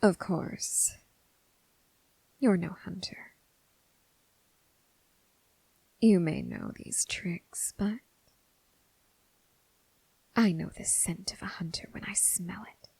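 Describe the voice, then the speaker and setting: A woman playing a seductive, menacing crossroads demon who toys with her prey, in a breathy, whispered ASMR roleplay with slow, teasing, formal phrasing. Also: fairly low pitch, wildly swooping pitch, mellow, no echo, full character voice, poor audio. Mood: intrigued.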